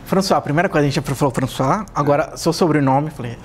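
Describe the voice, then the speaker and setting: A man's voice: fairly high pitch, fast, a little hiss or hum. A man with a Brazilian accent co-hosting a casual podcast, bantering informally. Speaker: podcast co-host.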